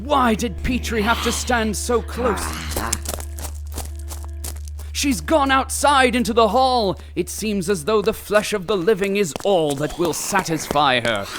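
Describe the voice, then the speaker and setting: An actor playing a theatrical radio narrator who delivers ornate, melodramatic horror commentary. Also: male voice, high-pitched, lively intonation, unhurried, hardly any pauses. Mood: alarmed. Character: theatrical male radio narrator, dramatic and urgently horrified. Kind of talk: old-time radio style horror commentary. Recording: music bed under the voice.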